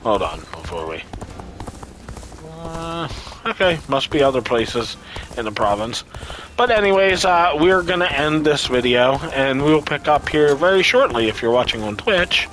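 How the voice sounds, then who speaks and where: A man's voice: on the high side, sing-song, measured pace, fairly bright tone, a little hiss or hum, poor audio. A man doing casual live gameplay commentary as a streamer, offhand and often muttering to himself. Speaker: game streamer.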